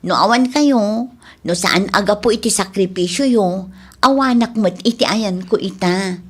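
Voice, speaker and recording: A woman's voice: mid-range voice, a little slow, husky. A woman playing a caring, self-sacrificing elder daughter in a radio drama, earnest and concerned. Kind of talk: amateur radio drama acting.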